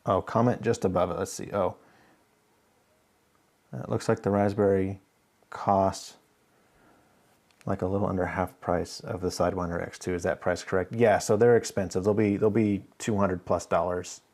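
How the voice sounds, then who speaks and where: A man's voice: sits low, level tone, moderate pace, pauses often, darkish tone. A man hosting a casual live stream, thinking aloud as he works and chatting easily with viewers. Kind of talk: casual live stream chat while working.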